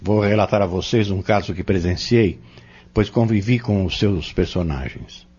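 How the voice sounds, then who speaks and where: A man with a Brazilian accent playing an earnest spiritual teacher in an audio novel, explaining in measured phrases and rhetorical questions. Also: sits low, darkish tone, husky. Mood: earnest, instructive. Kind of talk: dramatized audio novel dialogue, didactic. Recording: dull lo-fi audio.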